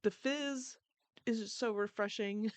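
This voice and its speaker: A woman's voice: a little high, slow, no room sound. A woman co-hosting a podcast in casual chat, congested and giggling often. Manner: giggly, chatty. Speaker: podcast co-host.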